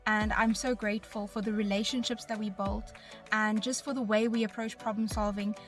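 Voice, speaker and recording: A woman in her twenties speaks with a South African accent at a medium pitch. She gives a personal testimonial as a recent graduate, with soft music underneath. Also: level tone, fairly quick. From Bloemfontein, South Africa. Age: 20s. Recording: voice over background music.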